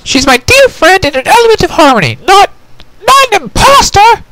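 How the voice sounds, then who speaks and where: Cartoon pony heroine, young female: high, swooping, distrustful and sharply emphatic. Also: very animated, normal speed, pushed and bright, a little hiss or hum, exaggerated voice. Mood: angry, indignant. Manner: indignant, emphatic, stammering when upset.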